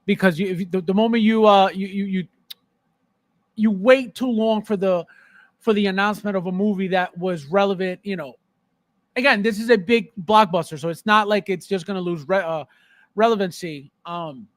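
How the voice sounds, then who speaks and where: Guy hosting a casual stream, high voice, dry close sound, repeats himself to make a point. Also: moderate pace, no echo. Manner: conversational, repeats phrases for emphasis, often checks with "right?". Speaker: live-stream host. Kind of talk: casual live-stream chat about collectibles.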